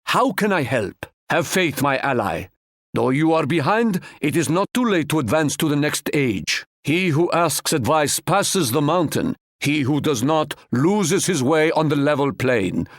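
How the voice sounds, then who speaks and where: A man's voice: fairly high pitch, sing-song, dry recording, studio quality. An actor voicing a proud, stately Ottoman sultan in a video game, speaking formally and quoting proverbs. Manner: formal, measured, fond of proverbs.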